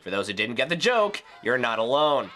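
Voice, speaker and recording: Man, scripted review commentary, very lively pitch, bright forceful tone, sarcastic and exasperated. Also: moderate pace, faint music behind.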